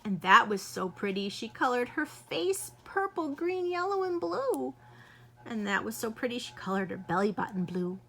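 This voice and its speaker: A woman reading a children's picture book aloud in an expressive, lively way. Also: fairly high pitch, normal speed, creaky. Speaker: children's storyteller.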